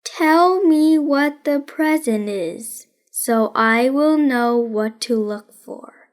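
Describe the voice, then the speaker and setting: A woman voicing a serious young boy detective in a storybook, deadpan and matter-of-fact. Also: high-pitched, takes it slowly. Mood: businesslike.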